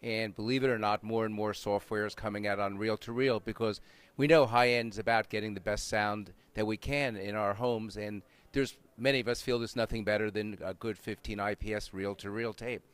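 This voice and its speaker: A man's voice: fairly low pitch, fairly even intonation, moderate pace, clean tone. A man with an American accent, giving informal, explanatory answers as an expert guest in an interview. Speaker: interview guest, audio magazine writer and panel leader.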